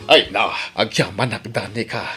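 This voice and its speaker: A man's voice: on the low side, normal speed, pushed and bright, soft background music. A man with an Ilocano accent playing a flustered older village grandfather in a radio comedy drama, exclaiming and stammering. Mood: affectionate, teasing.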